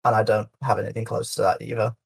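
Soft-spoken man with a light Yorkshire accent. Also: lowish voice, level tone, quick pace, gravelly, slightly rough, no room sound. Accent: light Yorkshire English. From Yorkshire, England. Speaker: speedrunner guest. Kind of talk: casual chat.

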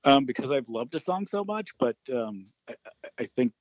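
A man's voice: mid-range voice, animated, moderate pace, no room sound, highs cut off. A man, a singer-songwriter guest, chatting casually in an interview, often stammering and restarting. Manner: hesitant, stammers and laughs often.

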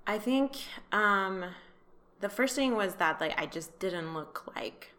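A woman from Iran telling her personal story as an interview guest, speaking haltingly and repeating words as she thinks. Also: medium pitch, lively intonation, bright, forceful tone.

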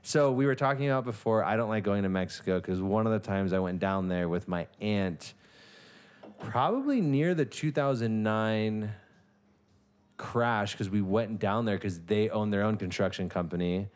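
A man hosting a casual podcast, chatty and quick to react while telling his own stories. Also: fairly low pitch, expressive. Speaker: podcast host. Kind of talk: casual interview chat.

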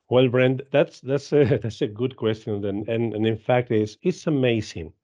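A man in his fifties from Argentina with an Argentine Spanish accent, answering interview questions in halting English with many repeats and fillers. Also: mid-range voice, warm tone, dull audio. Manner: halting, frequent repeats and "uh", laughs easily. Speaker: guest, dairy genetics business director.

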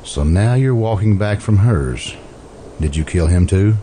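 Man acting a very deep, stern, suspicious guard. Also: animated, unhurried, dark tone. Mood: probing. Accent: American. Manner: blunt, accusing, wary. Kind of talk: old-time radio drama acting. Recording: amateur radio recording, faint background noise.

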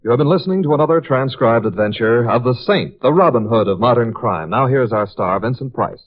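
A man's voice: medium pitch, animated, fairly quick, faint music behind. An American man announcing in 1950s network radio style. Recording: muffled vintage radio broadcast.

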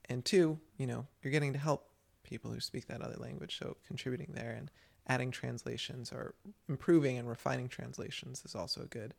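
Male podcast co-host, gravelly mid-pitched voice, loose rambling chat. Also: fairly even intonation, brisk. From United States. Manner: chatty, rambling with many restarts. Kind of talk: casual chat.

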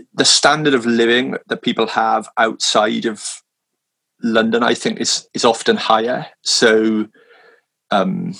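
Welsh-raised male minister, mid-pitched, halting chat full of restarts. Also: vocal fry, a bit bright. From Wales. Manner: hesitant, many restarts, self-deprecating laughs. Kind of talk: casual conversation. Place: London.

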